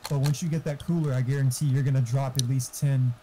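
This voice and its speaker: A man's voice: medium pitch, fairly even intonation, quick pace, a crowd in the background. A man in casual gaming voice chat, giving opinionated, informal tech advice with some swearing. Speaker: gamer giving computer hardware advice.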